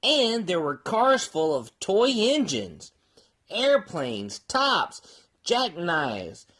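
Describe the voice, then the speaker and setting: Woman's voice playing cheerful folksy mule, very animated swooping pitch, slow sing-song storybook reading. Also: heavily acted. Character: Muley, a cheerful folksy mule who reads books. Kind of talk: children's storybook read-aloud.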